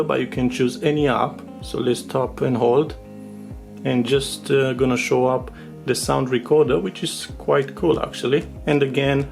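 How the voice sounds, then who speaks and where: A man, a native Romanian speaker, giving a casual, unscripted product overview in English as a tech reviewer, with halting, filler-filled delivery. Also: mid-range voice, gravelly, darkish tone. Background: music with guitar behind the voice.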